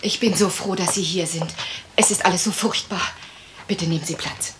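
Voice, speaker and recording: A woman's voice: on the low side, fairly even intonation, moderate pace, noisy background, lightly acted. A woman playing a troubled, wistful lady of a manor house in a radio crime drama, polite and hesitant. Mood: anxious, polite.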